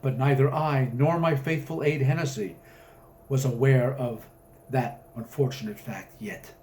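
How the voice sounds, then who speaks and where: A man's voice: mid-range voice, fairly even intonation, a little slow, darkish tone, slight background noise, close to an everyday voice. A man voicing a pompous old colonel who tells florid, melodramatic adventure tales in a storybook reading. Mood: grandly ominous.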